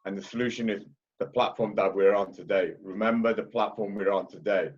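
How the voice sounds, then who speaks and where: A man with a Liverpool-tinged British accent speaking at a conference, loud and blunt, repeatedly asking "okay?". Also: lowish voice, fairly even intonation, a little fast, husky, muffled recording. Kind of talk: heated panel talk.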